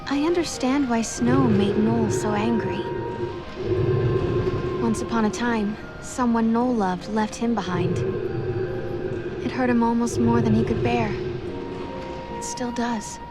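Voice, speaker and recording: Actress as young heroine, higher female voice, thoughtful, gentle, earnest, video game drama, prominent music. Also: measured pace, long pauses, close to an everyday voice. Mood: wistful, reflective. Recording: loud music behind the voice. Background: loud music.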